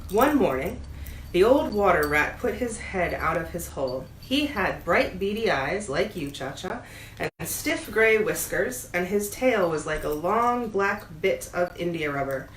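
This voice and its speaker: A woman's voice: fairly low pitch, sing-song, unhurried. A woman reading a storybook aloud as a bedtime story, expressively and with playful asides. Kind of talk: storybook read-aloud to a pet dog. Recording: roomy echo, audible background noise.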